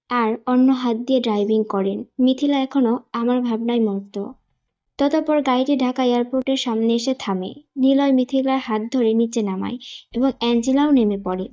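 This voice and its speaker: A woman's voice: a little high, mellow, lo-fi sound. A woman narrator reading a scripted romance story.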